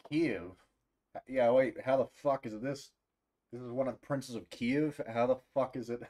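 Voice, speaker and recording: A man's voice: mid-range voice, normal speed, a little mellow. A man streaming a video game with casual, joking, sweary commentary, muttering to himself as he plays. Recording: clear background game noise.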